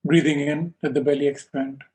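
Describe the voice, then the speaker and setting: A man teaching yoga and guiding a meditation in slow, calm, measured short phrases with pauses between them. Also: a little high, poor audio. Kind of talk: slow guided meditation instruction.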